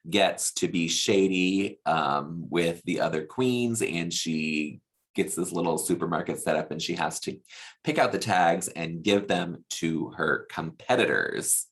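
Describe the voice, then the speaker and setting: Guy with a deep gravelly voice doing a gossipy casual recap, lots of opinions. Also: measured pace, fairly bright tone. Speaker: podcast host reviewing a TV show.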